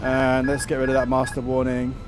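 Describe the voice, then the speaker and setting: A man's voice: medium pitch, monotone, brisk, music in the background. A man giving casual, enthusiastic commentary while playing a flight simulator. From outside the United States. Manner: chatty, enthusiastic. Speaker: flight sim content creator.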